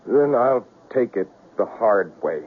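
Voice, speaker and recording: A man's voice: lowish voice, animated, takes it slowly. A man playing a fragile, troubled young artist in a 1970s radio drama. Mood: torn, resolving. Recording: tinny, noisy old radio broadcast.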